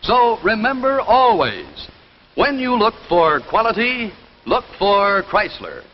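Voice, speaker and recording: A slightly noisy, dull 1950s television soundtrack carries a male announcer. His voice is on the high side and he reads scripted promotional copy in the period style. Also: very animated, slow pace.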